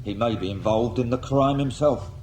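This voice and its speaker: An actor playing a pompous, wry senior investigator in a radio drama, wordy, condescending and mock-polite. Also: male voice, medium pitch, moderate pace, a little mellow, natural voice. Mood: wry, suspicious. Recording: faintly noisy 1980s radio drama.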